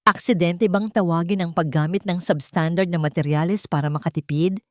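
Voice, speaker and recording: A woman's voice: on the low side, steady tone, brisk, darkish tone, no room sound, clean studio sound, close to an everyday voice. Woman reading as steadfast, accusing young partner. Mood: firm accusation. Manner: firm, accusing.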